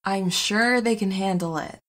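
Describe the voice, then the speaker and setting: A woman's voice: mid-range voice, a little slow, a bit bright, close to an everyday voice. A woman playing a sensible, reluctant semi-retired superhero with a dry, grudging manner in an audio comedy.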